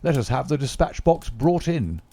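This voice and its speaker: A man voicing a calm, sharp elderly prime minister who speaks in a measured, authoritative way. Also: mid-range voice, creaky, no echo, voice pushed up. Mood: composed.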